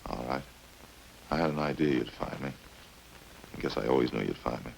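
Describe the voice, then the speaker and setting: Casting: cold-blooded confessed killer, bottom-of-the-range gravelly voice, casual and matter-of-fact, vintage radio drama. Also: male voice, rumbling low, a little hiss or hum, full character voice, poor audio. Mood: resigned. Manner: flat, casual, unhurried confessing. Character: matter-of-fact, remorseless male drifter confessing to killings. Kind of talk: old-time radio crime drama acting. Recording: old radio recording with music behind.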